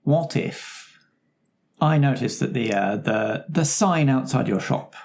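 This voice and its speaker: A player voicing an adventuring painter in a tabletop game, polite, flattering and pleased with their own art, talking in a wordy, musing way. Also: mid-range voice, slow pace, gravelly. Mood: cheeky, bargaining.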